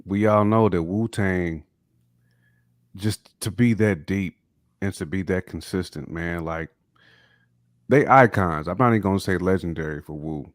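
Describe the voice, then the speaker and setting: A man in his forties with a very low voice co-hosts a hip hop podcast. He speaks emphatically, stacking up praise and repeating words. The mic is dry and close. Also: unhurried, long pauses, no room sound. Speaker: podcast co-host. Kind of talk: casual podcast chat.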